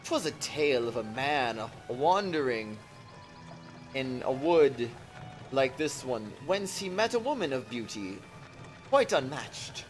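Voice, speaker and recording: A man's voice: medium pitch, sing-song, slow pace, poor audio. A man voicing an uneasy, florid scholar from a visual novel game with theatrical old-fashioned speech. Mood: uneasy, dramatic. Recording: music behind the voice.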